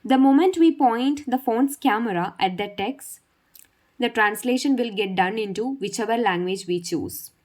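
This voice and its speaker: A woman's voice: fairly high pitch, reverberant. A woman whose first language is Malayalam, teaching an English lesson and patiently demonstrating an app step by step. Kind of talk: video lesson.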